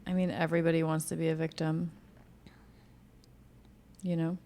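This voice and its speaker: A woman's voice: deep voice, little pitch movement, normal speed, warm tone. A woman co-hosting a casual podcast chat, giving blunt opinions in short, declarative phrases. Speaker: podcast co-host. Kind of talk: casual conversational political chat.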